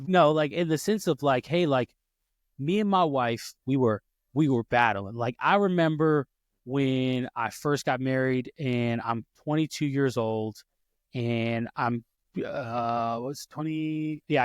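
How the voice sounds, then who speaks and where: A man's voice: mid-range voice, unhurried, no room sound, studio quality. A man in his thirties hosting a podcast, telling personal stories in casual talk, often restarting and correcting himself. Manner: storytelling, frequent restarts and self-corrections. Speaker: podcast host.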